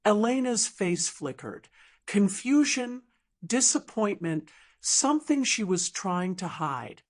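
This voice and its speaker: A woman reading a dramatic story in a measured, deliberate audiobook narration style. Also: mid-range voice, very animated. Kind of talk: scripted dramatic fiction narration.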